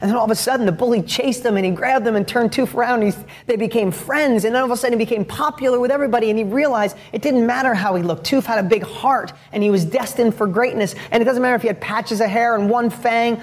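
A man with a high-pitched voice gives a motivational talk as a father and host, telling stories energetically and rambling with restarts. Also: fast talker, few pauses. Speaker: host and father giving advice.

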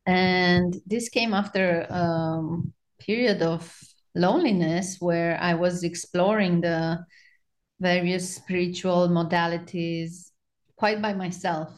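A woman speaks with a Romanian accent in a somewhat low-pitched voice. She is a podcast guest, recounting her own experiences in a casual, conversational way. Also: steady tone, takes it slowly. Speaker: podcast guest, spiritual practitioner. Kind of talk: casual personal storytelling in conversation.

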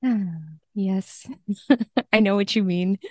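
A woman chatting casually and wryly about books as a podcast guest, quick to laugh. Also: medium pitch, fairly even intonation, no echo, studio quality. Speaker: guest, literary translator.

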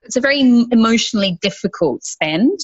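A woman in her thirties from New Zealand with a New Zealand accent, a guest on an interview podcast, talking in an animated, emphatic way with lots of "like" and "right?". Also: fairly high pitch, a little fast, dull audio. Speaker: guest, childcare company founder.

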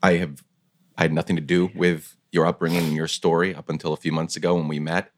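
A man's voice: deep voice, little pitch movement, fast talker. A man hosting an interview, speaking reflectively and with empathy, repeating words for emphasis. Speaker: podcast host. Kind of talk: conversational interview.

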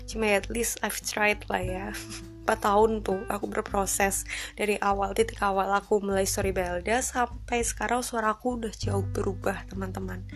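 A woman in her twenties with a light Javanese accent, hosting her own podcast in a chatty, self-deprecating way and dropping in English phrases, her intonation a little flat. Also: mid-range voice, normal speed. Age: 20s. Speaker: podcast host. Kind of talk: casual solo podcast monologue. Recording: music playing under the voice.

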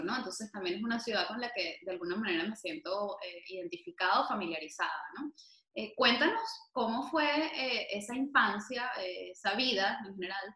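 A woman with a bright, forward voice hosts an interview, asking in a lively, familiar way with plenty of fillers. Her voice carries a roomy echo. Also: mid-range voice. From Venezuela. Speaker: interviewer, host. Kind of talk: conversational interview.

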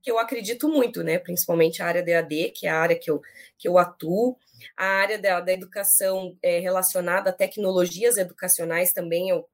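A woman's voice: on the low side, steady tone, brisk. Woman with rural São Paulo accent. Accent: rural São Paulo Brazilian, drawn-out r. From São Paulo state, Brazil. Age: 30s. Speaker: interview guest, education professional. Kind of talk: casual interview storytelling.

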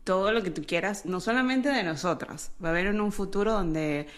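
A woman's voice: mid-range voice, fairly quick. A Venezuelan woman with a Venezuelan Spanish accent, co-hosting a casual podcast and chatting in an informal, slangy way. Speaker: podcast co-host. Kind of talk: casual, gossipy podcast chat.

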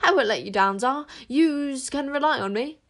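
A woman voicing a loyal, earnest male sprite who speaks slowly in dialect. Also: sits high, lively intonation, a bit bright, pitched above the performer's own voice.

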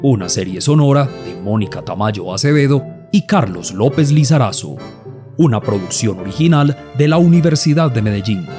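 A man's voice: medium pitch, expressive, moderate pace. A man reading scripted radio credits as an announcer. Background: music.

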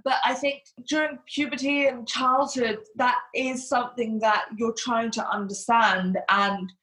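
A woman's voice: fairly high pitch, steady tone, unhurried, fairly bright tone, poor audio. Chatty woman, lots of fillers. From Hong Kong. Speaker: guest, body confidence coach. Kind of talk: casual interview talk.

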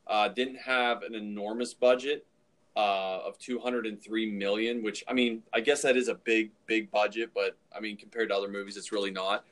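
A man's voice: fairly low pitch, fairly even intonation, moderate pace, fairly bright tone. A man hosting a casual movie discussion in a rambling, hedging way. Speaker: podcast host.

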